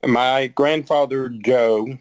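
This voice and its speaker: A Texan man in his sixties speaks slowly and evenly, with little rise or fall, pausing often and restarting his sentences as he recalls family history. The sound is dry and close, with no room echo. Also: mid-range voice, fairly even intonation. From Texas. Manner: slow, halting, with many ums and restarts. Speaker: podcast guest. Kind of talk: conversational interview.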